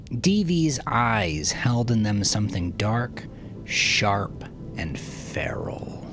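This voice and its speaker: A man narrating dark dramatic fiction in a brooding, theatrical way, with breathy hushed phrase endings. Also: fairly low pitch, background noise. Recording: music behind the voice. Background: music.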